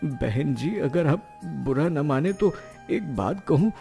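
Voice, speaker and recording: A man's voice: on the high side, animated, slow, creaky, mellow, faint music behind, exaggerated voice. A man voicing a poor, humble and polite working father in a cartoon moral story.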